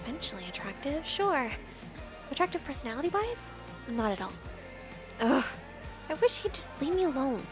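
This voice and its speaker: Woman as a nerdy teen girl, very high voice, swoopy delivery, anxious, cartoon-style roleplay, faint music behind. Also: big pitch swings, moderate pace, long pauses. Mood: annoyed. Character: nervous, nerdy teenage schoolgirl with a crush. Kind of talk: animated roleplay voice acting.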